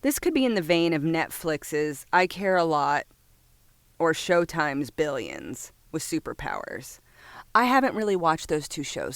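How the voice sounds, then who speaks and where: A woman's voice: deep voice, no echo. A woman reading out a list as casual commentary, breaking off into informal, opinionated asides with some swearing. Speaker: reviewer reading a comics top-ten list. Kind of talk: casual list commentary, partly read from a script.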